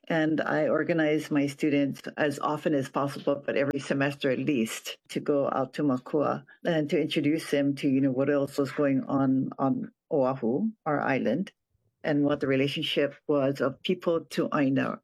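An older man from Hawaii, a retired professor, giving a reflective personal account with frequent pauses and restarted phrases. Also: a little high, poor audio. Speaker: retired professor and nonprofit board president.

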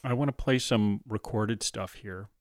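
A man's voice: fairly low pitch, a little slow, no echo. Dry-sounding easygoing male radio host. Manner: easygoing, loose and chatty, with fillers. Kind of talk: casual interview chat. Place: radio studio.

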